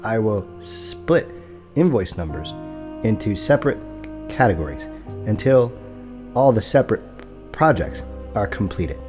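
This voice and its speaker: An actor playing an unflappable, formal property broker in an audio drama, polite and precise, with a stutter on hard consonants. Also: male voice, fairly low pitch, very animated, measured pace, long pauses, over music. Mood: calmly businesslike.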